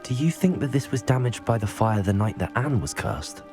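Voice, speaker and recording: A man's voice: medium pitch, big pitch swings, normal speed, over music, natural voice. A young man playing a level-headed, inquisitive teenage student hero in a fantasy video game. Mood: urgent, curious. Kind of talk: video game dialogue acting.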